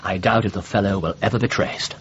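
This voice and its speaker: A man playing a brisk, self-assured detective with an English accent and a precise, dry, authoritative manner in a radio drama. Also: fairly low pitch, lightly acted. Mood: coolly assured. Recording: dull, noisy radio play recording.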